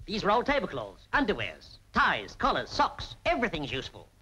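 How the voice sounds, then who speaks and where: A bossy, patriotic British busybody in a 1940s film. She hectors briskly and emphatically, her pitch swinging about freely. The old soundtrack is slightly noisy. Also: huge pitch swings, sharp tone, full character voice. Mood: cajoling, patriotic. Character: brisk bossy patriotic woman salvage campaigner, scolding nicely.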